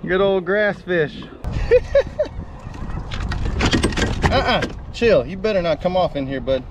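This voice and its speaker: A man, chatting casually and excitably in slangy talk as he films a fishing outing. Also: high voice, moderate pace. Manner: excitable, laughs often, slangy. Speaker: fishing vlogger. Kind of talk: casual chat while filming a fishing outing. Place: kayak on an open lake, some in a car. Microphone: action camera worn or mounted close by. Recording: muffled outdoor action camera, clear background noise.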